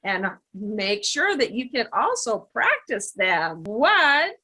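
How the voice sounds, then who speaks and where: Woman, children's host, slow, animated pitch, bright voice. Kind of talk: interviewing and addressing young children.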